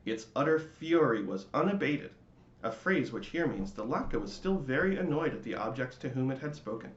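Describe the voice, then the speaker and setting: A man giving a dramatic, theatrical reading of a children's storybook in measured phrases. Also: mid-range voice, animated, roomy sound, lo-fi sound.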